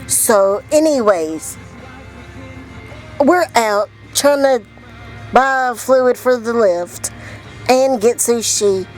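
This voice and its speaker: Woman, 20s, casual slangy vlogger, unhurried delivery, in-car recording with music behind. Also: on the high side, expressive, poor audio. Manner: informal, slangy, exclamatory. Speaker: vlog host. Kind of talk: casual vlog chat.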